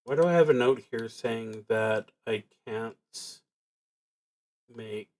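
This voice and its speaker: Guy streaming a solo rpg, talks slow, kinda halting, reads stuff out then mulls it over. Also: medium pitch. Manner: halting, musing. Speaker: solo tabletop roleplaying streamer. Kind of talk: livestreamed solo game play, reading prompts aloud and thinking out loud.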